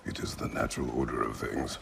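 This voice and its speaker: An actor playing a brooding, stoic warrior husband in a video game, speaking gruffly in terse, solemn phrases. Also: male voice, fairly even intonation, fast, fairly bright tone, faint music behind, full character voice. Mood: grim, stoic. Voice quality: very deep, gravelly growl. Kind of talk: dramatic video game acting.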